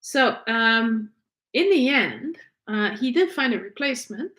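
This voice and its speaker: A woman's voice: fairly high pitch, expressive, a little slow, fairly bright tone. A woman whose first language is French, hosting a podcast and candidly recounting her own experiences in a conversational way. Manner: candid, conversational, frequent fillers and restarts. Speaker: podcast host. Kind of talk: personal storytelling.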